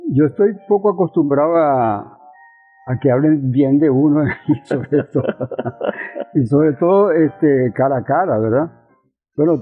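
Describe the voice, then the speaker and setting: An older Venezuelan man speaking reflectively as an interview guest, halting and repeating phrases. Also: medium pitch, breathy edge. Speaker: interview guest, university professor. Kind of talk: reflective conversation. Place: balcony of his house. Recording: thin phone-like sound, faint music behind.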